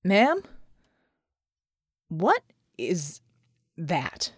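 Woman playing a male ex-soldier, pitched down, wary, clipped, folksy, incredulous, audiobook character. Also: wildly swooping pitch, extremely slow, breathy edge, dry recording, studio quality, exaggerated voice. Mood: stunned disbelief. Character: wary, skeptical American ex-soldier man, polite but blunt.

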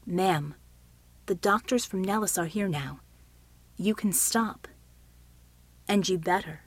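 A woman's voice: mid-range voice, slow, breathy edge, dry recording. A woman voicing a protective, firm military man in an audiobook story. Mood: concerned, firm. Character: steady protective military male helper, quiet but commanding.

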